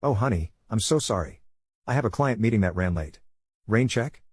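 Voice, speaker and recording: A man's voice: lowish voice, expressive, moderate pace, breathy edge, no room sound, clean studio sound. A man voicing a breezy, evasive middle-aged wife with a casual, dismissive manner in a narrated story. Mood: breezy, apologetic.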